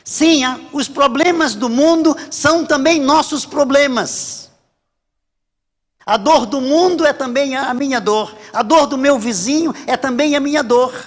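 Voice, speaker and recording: High-pitched Brazilian preacher in a reverberant hall. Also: male voice. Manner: emphatic repetition with deliberate pauses. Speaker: Baptist pastor preaching. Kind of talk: sermon. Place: large church hall during a service.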